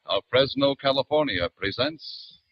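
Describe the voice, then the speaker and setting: A man announcing a radio drama and reading a sponsor's wine ad in a smooth, formal delivery. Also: medium pitch, wildly swooping pitch, unhurried, no room sound. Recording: muffled 1940s radio broadcast.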